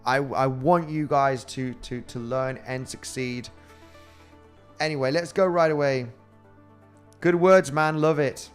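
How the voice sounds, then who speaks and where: A man's voice: mid-range voice, slow, pauses often, clear, resonant tone, faint music behind. A man with a Spanish accent, a crypto trading host chatting casually on a livestream and reading out viewer comments in a rambling way. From Spain. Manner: rambling and chatty, with frequent fillers and laughs.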